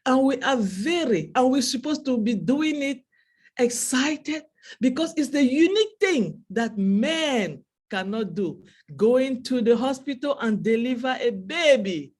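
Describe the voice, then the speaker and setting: A woman's voice: sits high, animated, a little slow, a little mellow. A woman from Cameroon whose first language is French, speaking with a Cameroonian French accent in a heartfelt speech to an online audience, repeating phrases for emphasis. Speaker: webinar speaker.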